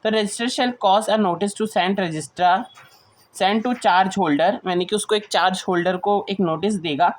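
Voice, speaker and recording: Indian woman teaching, explanatory lecture style. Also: mid-range voice. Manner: switches between slow English reading and Hindi explanation, repeats key points. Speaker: teacher of company law. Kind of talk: explanatory lecture, reading provisions aloud then explaining.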